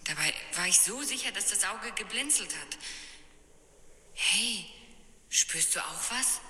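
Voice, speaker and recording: A woman playing a frightened young person in a children's mystery radio play, with breathy, suspenseful delivery. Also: mid-range voice, expressive, unhurried, pushed and bright, slight background noise. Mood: eerie, spooked. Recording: echoey reverberant old radio play.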